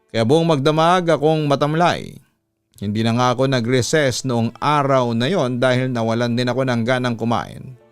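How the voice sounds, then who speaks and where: Male Filipino radio storyteller for scripted narration; slightly low, resonant voice. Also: clear, resonant tone, dry recording. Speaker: radio host reading listener letters. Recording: music behind the voice. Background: background music.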